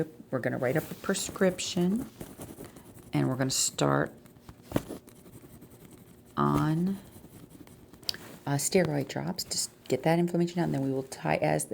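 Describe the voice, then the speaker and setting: Low, creaky woman in soft-spoken ASMR. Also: slight background noise. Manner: reassuring, explanatory, conversational. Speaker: ASMR creator role-playing an eye doctor.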